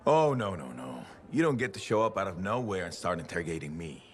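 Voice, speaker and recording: A man's voice: sits low, big pitch swings, a little fast, a little hiss or hum. A man acting as a guarded, blunt, wry veteran in his mid-forties in a video game. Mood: defensive. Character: gruff, guarded forty-five-year-old male veteran, wry.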